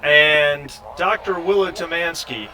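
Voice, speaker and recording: A man running a tabletop role-playing game, improvising narration and casual table talk in a halting way with drawn-out pauses. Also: fairly high pitch, bright, forceful tone, low-quality recording. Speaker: game master.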